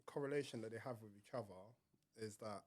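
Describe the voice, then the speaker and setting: A man, a bit croaky from being ill, explaining his work as a podcast guest in a measured way, with plenty of fillers. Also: fairly low pitch, a little mellow. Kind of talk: casual podcast interview.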